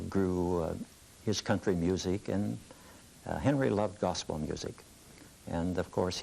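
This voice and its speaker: An older man with a very low, gravelly voice reminisces in an interview. He talks in a halting, folksy manner, and a faint hiss runs under the recording. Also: mellow, low-quality recording. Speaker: interviewee recalling early local radio.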